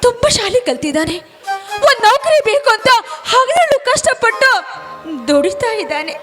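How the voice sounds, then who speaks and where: A woman playing a devoted, pleading young wife in a melodramatic stage drama, with emotional, drawn-out delivery. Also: extremely high pitch, big pitch swings, a crowd in the background. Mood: pleading, prayerful. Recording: loud music over the voice.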